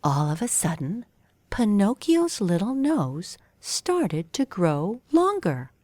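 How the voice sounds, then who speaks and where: A woman's voice: medium pitch, very animated, slow pace, darkish tone, no echo. A woman reading a scripted children's story as a storyteller.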